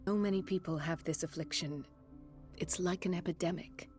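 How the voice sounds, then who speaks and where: An actress playing a composed, caring and determined hospital doctor in a video game, with a measured clinical delivery. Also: female voice, fairly low pitch, steady tone, a little mellow, faint music behind, lightly acted. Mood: concerned. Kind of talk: video game cutscene acting.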